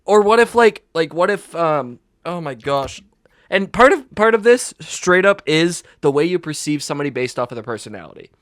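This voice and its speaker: A young man with a Maine accent co-hosts a casual podcast. His speech is excitable and full of laughter, with pitch that swings widely. The recording is close and dry. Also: normal speed, dry recording. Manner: excitable, laughing, animated storytelling. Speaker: podcast co-host. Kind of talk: casual chat.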